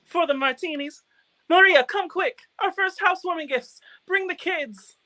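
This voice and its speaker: A woman's voice: extremely high pitch, moderate pace, bright, forceful tone. A woman acting as a proud, exuberant immigrant male homeowner in a radio play. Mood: excited.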